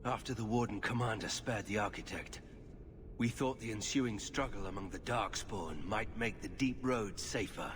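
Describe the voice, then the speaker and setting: A man playing a grave, guarded warrior warden in a video game, speaking in a serious, measured way. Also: on the low side, big-room sound, close to an everyday voice. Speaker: warden expedition leader in a fantasy game. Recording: clear background noise, game ambience.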